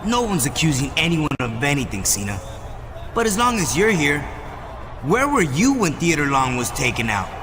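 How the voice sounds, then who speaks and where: A man playing a serious wrestling authority in a video game, asking pointed, measured questions. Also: a little high, sing-song, long reverb tail, noisy background. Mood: stern, suspicious. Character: serious, probing male wrestling authority figure investigating. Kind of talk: video game cutscene acting.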